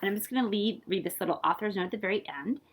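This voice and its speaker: A woman reading a picture book aloud to children as a librarian, expressive and playful, with asides to her listeners. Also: mid-range voice, very fast, slightly rough. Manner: animated, playful with scat-like sound words.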